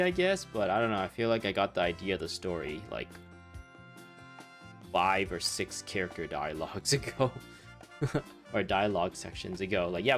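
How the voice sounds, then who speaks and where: A man with a slightly low voice chats loosely through a live game stream. He rambles and makes sarcastic, joking remarks over clearly audible game music. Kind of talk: casual live gameplay commentary.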